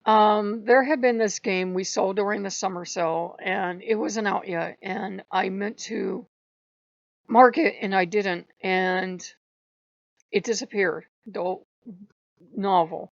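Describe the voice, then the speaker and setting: Woman, hoarse, casual rambling storyteller, clears throat, dull lo-fi audio. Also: mid-range voice, steady tone, slow pace, no room sound. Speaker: channel host. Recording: dull lo-fi recording.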